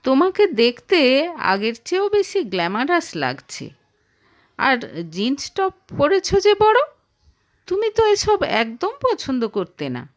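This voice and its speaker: Woman acting a wistful young man, slow-paced. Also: high voice, huge pitch swings, exaggerated voice. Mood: friendly. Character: young man, wistful, teasing former lover.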